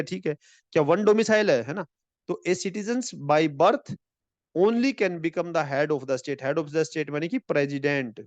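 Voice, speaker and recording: A man teaching an exam-prep lecture in a friendly way. He mixes in English and reads the English lines slowly. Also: fairly high pitch, no echo, highs cut off. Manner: friendly, calls viewers friends.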